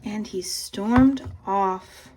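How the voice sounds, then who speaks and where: A woman teacher reading a storybook aloud and talking to young listeners with clear emphasis. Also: medium pitch, very slow, dark tone, slight background noise. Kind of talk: expressive storybook read-aloud and lesson for children.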